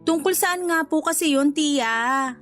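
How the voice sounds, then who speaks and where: A woman's voice: sits high, measured pace, fairly bright tone. A woman with a Filipino accent playing a young adult daughter in a radio drama, polite and earnest but ready to protest. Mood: polite, curious. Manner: respectful, earnest, readily protesting. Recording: music behind the voice.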